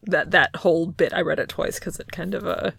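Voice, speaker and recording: Wry, creaky-voiced woman chatting. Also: fairly low pitch, steady tone, fairly quick, fairly bright tone. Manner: wry, playful, often laughing. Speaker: podcast co-host. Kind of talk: casual comic book recap chat.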